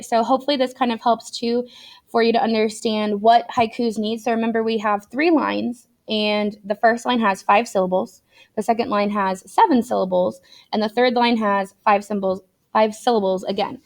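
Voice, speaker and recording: A woman reading a children's book aloud in an explanatory, teacherly way for young learners. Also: fairly high pitch. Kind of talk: expressive read-aloud for children.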